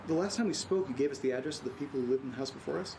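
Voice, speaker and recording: An actor playing an anxious, earnest man in a naturalistic audio drama, speaking in a hesitant, halting way. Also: medium pitch, vocal fry, background noise, lightly acted. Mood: uneasy, pressing. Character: anxious, earnest man recording strange events at home. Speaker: lead character of a horror audio drama.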